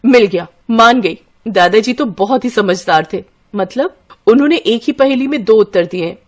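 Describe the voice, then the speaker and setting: A woman's voice: on the high side, normal speed, close to an everyday voice. A woman voicing a clever, confident young elder sister from a village in a scripted story. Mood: excited, assured.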